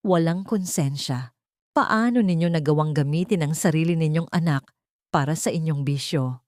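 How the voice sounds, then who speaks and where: Female narrator as a betrayed wife in audio fiction: low, wounded, bitterly accusing. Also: normal speed, darkish tone, dry recording, studio quality, natural voice. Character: betrayed, bitter wife confronting her husband. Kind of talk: dramatic audio story dialogue.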